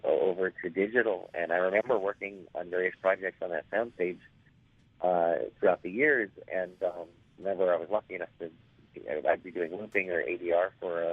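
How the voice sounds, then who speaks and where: A man in his forties with a raspy, hoarse voice, telling stories as an interview guest and rambling with many fillers and restarts. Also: deep voice, no room sound. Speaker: actor guest. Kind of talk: casual interview storytelling. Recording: tinny phone line.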